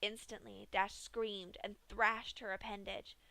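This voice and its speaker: A woman reading a dark fanfic aloud in a steady storytelling manner. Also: mid-range voice, unhurried, gravelly, dry recording, poor audio. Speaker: fanfiction reader.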